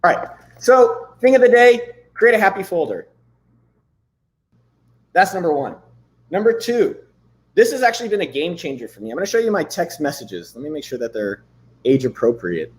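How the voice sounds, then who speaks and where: Man, thirties, livestream host, slightly higher voice, hoarse, loose rambling talk. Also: very animated, normal speed, pauses often. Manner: loose and rambling, swears casually. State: losing his voice. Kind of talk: casual livestream chat with screen demos.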